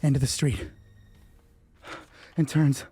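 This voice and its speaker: Husky male solo game master. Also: medium pitch, flat delivery, warm tone. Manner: halting, thinking aloud. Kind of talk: improvised narration of tabletop roleplay, reading dice.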